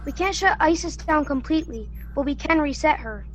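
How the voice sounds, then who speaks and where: Woman acting high-voiced, cautious young techie. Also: animated, fairly quick, a little hiss or hum. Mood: determined. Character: young tech-minded colonist, cautious, deliberate problem-solver. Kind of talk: student sci-fi radio play acting.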